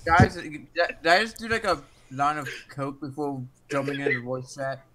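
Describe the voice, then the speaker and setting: A man chatting casually with friends over voice chat, with other voices loud behind him. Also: on the high side, fairly even intonation, normal speed, a loud crowd.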